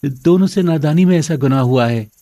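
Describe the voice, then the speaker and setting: Give the part of a kind-hearted son in an animated fairy tale to a male actor. His voice is mellow and soft-edged, recorded close and dry. He speaks earnestly and with respect. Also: fairly high pitch, a little fast, no room sound, lightly acted. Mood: pleading. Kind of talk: animated moral story dialogue.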